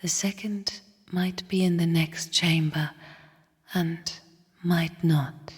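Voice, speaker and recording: A somber, bitter game spirit, speaks in a very breathy, hushed half-whisper. Her low voice moves slowly and cryptically, trailing into long cavernous reverb. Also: steady tone, heavily acted. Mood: cold, guiding. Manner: slow, solemn, cryptic. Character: somber, bitter sister spirit of the void, guarding her chambers. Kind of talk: video game character voice lines.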